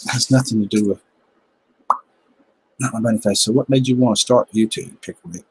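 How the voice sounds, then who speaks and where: A man's voice: lowish voice, steady tone, brisk, darkish tone, a little hiss or hum, poor audio. A man in his fifties with a Southern American accent, chatting casually on a livestream in a laid-back, joking, folksy way. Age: 50s. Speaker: livestream host, gardening channel. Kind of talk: casual chat reading viewer comments.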